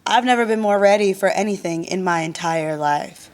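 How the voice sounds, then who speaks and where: A woman's voice: medium pitch, moderate pace, close to an everyday voice. A woman playing a sardonic, competitive comedy student in a sitcom. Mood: snarky, confident. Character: snarky, competitive woman waiting at comedy class. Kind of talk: sitcom acting. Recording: crowd chatter and noise, clicks.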